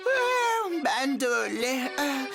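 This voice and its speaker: A woman's voice: high voice, sing-song, slow, creaky, over music, full character voice. A woman voicing a cocky, carefree young boy playing pirate captain in a children's cartoon. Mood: confident, sleepy. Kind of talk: animated children's cartoon dubbing.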